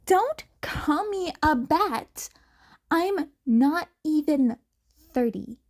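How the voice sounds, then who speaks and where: A brash young hero woman put on by a female reader talks bluntly and cockily. She teases in an informal way, with a fairly high voice, in a dramatized story. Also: expressive, very slow, full character voice. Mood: irritated. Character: brash, teasing pro-hero woman under thirty.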